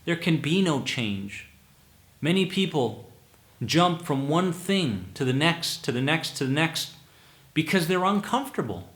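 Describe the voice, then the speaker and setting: Slow, emphatic man with lively pitch. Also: roomy sound. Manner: emphatic, repeats words for stress, slow deliberate delivery. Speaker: anxiety coach. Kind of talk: motivational talk to listeners.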